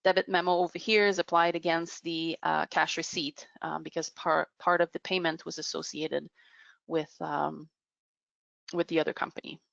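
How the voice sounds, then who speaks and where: A woman presenting a software demo in a webinar, explaining step by step off the cuff with halting pauses. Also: deep voice, flat delivery, creaky, no echo, muffled recording. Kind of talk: unscripted software demo narration.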